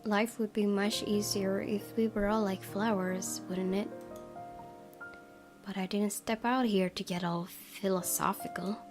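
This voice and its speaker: A woman game streamer reading a visual novel aloud as expressive narration. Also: mid-range voice, a little slow, over music.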